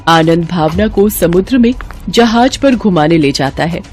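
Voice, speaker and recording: A woman's voice: lowish voice, lively intonation, measured pace, darkish tone. A woman reading a scripted moral bedtime story as narrator, with music in the background.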